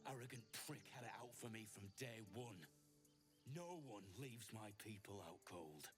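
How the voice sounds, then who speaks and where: An actor playing a bitter, gruff mercenary in a fantasy video game, speaking in a surly, blunt way. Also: male voice, on the low side, animated, moderate pace, faint music behind, lightly acted. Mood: bitter, angry. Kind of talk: dramatic video game dialogue.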